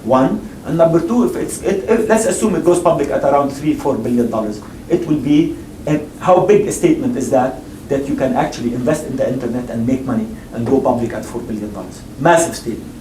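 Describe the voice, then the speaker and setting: A man's voice: medium pitch, a little fast, mellow, far from the mic. A man on a panel talking about investing, emphatic and repetitive, often restarting his sentences. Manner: emphatic, repeats himself, frequent restarts. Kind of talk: panel discussion and audience Q&A. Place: lecture hall. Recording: echoey hall, mic from afar, faint noise.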